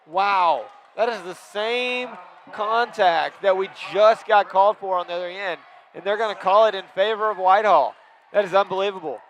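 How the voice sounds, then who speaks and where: A man calling high school basketball play by play, quick and excitable on big plays. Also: slight background noise. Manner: rapid, excitable calls rising on big plays. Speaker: play-by-play announcer.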